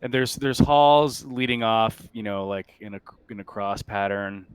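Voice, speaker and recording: A man with a fairly low, clean and resonant voice works as a game master. He describes scenes casually, pausing often as he makes them up. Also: little pitch movement, clear, resonant tone.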